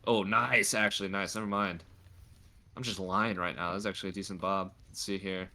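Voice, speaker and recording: A man's voice: low-pitched, steady tone, a little fast, sharp tone. A man coaching a player through a game replay in a casual, chatty way, with a slightly stuffy nose. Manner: chatty, lots of filler words. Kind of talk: casual gameplay review.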